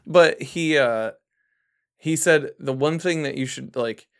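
A man's voice: on the high side, slow, vocal fry. A man hosting a casual chat, rambling loosely and joking at his own expense. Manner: loose, rambling, self-deprecating jokes. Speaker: podcast host.

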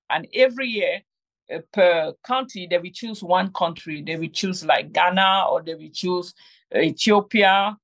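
A middle-aged woman from Cameroon, telling her story as an interview guest with many fillers and restarts. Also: lowish voice. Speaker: guest, game inventor. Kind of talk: conversational interview.

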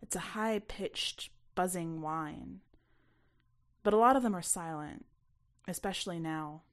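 A woman's voice: lowish voice, a little slow, lightly acted. A woman voicing a weary, guarded man in a story, evasive and cynical.

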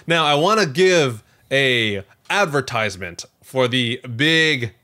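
A man's voice: mid-range voice, lively intonation, takes it slowly, bright, forceful tone. A man co-hosting a podcast in casual, jokey, self-deprecating chat. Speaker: podcast co-host.

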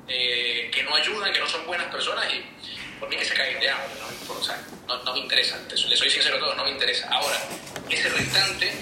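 A man talking bluntly and casually, giving his opinions. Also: a little high. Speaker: podcast co-host. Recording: very echoey, distant mic, faint noise.

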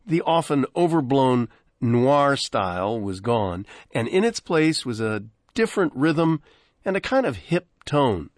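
A man's voice: medium pitch, big pitch swings, a little slow, dry recording, studio quality. An American man hosting a radio show, reading a scripted introduction to vintage programs. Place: dry broadcast studio.

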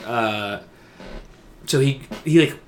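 A man's voice: medium pitch, slow. A young man co-hosting a podcast, chatting casually and enthusiastically about games in a slangy, stumbling way, with some noise behind him. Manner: enthusiastic, slangy, stammering restarts. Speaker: podcast co-host.

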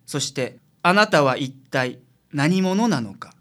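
A man's voice: fairly high pitch, expressive, slow, sharp tone, heavily acted. A man playing a shrewd, blunt, laid-back male private detective with dry, probing delivery in a radio drama.

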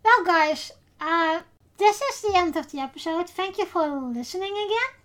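A woman with a Dutch accent hosting a podcast in English, who speaks in a casual, unhurried way and pauses often with fillers. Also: very high voice, lively intonation, a bit bright. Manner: unhurried, hesitant with many fillers. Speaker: podcast host.

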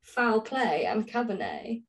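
Woman podcast host, highish voice, casual chat, bit of dry teasing. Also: wildly swooping pitch, takes it slowly, poor audio. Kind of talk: casual chat between friends.

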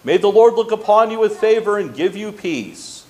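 High-voiced man leading solemn church prayer. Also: expressive, warm tone, low-quality recording. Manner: solemn, formal, measured phrasing with pauses. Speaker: pastor leading congregational prayer. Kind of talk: liturgical prayer and blessing, read aloud.

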